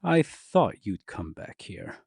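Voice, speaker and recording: A man's voice: on the low side, sing-song, creaky, darkish tone, breathy edge, no echo, studio quality, full character voice. A man playing a menacing, controlling figure in an audio drama, with a condescending, coldly threatening manner. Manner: deliberate, condescending, sinister.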